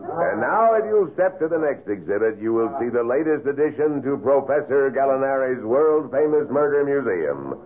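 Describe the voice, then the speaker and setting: A man's voice: mid-range voice, animated, moderate pace, natural voice. An actor playing a theatrical male tour guide at a murder museum in a 1970s radio drama, with lurid, grandiose barker's patter. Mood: grandiose showmanship. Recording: muffled old radio recording.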